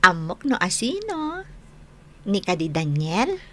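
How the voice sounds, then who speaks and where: An actress with an Ilocano Filipino accent playing a teasing, loyal young college friend in a radio drama. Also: female voice, mid-range voice, wildly swooping pitch, slow, bright, forceful tone, slight background noise, heavily acted. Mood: curious, teasing.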